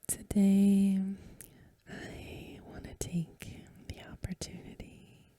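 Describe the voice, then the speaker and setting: A woman speaking in a hushed, gentle ASMR style, breathy and mostly whispered, unhurried as she hosts and reads aloud. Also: mid-range voice, flat delivery. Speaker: host of an ASMR channel, academic reader. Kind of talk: soft-spoken ASMR talk and story reading.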